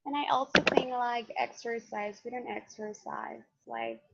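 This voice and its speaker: A woman's voice: on the high side, a little slow, gravelly, breathy edge, no room sound, highs cut off. A young woman from El Salvador, talking casually as a participant in a student discussion. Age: young adult.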